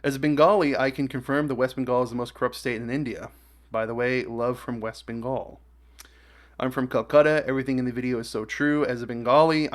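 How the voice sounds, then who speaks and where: A man giving a casual reaction as a video host, reading comments aloud in a halting, stumbling way. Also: mid-range voice.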